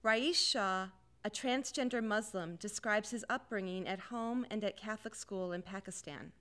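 Female narrator, mid pitch, smooth tone, formal introductions. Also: moderate pace, sharp tone. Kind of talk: scripted documentary narration.

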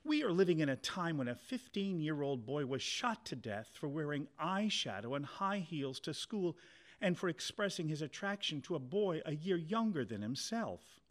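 A man giving an earnest, measured reading of a documentary passage. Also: on the high side, sing-song. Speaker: reader. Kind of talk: scripted documentary narration.

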